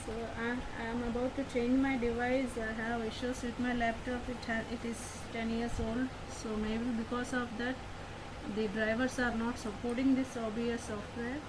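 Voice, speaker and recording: A woman's voice: fairly high pitch, level tone, moderate pace. A woman teaching an online class from home on a laptop mic, talking plainly and repeating herself often while checking that her students can hear her. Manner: repetitive, checks on listeners often. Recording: noisy home recording, fan hum behind. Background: fan noise.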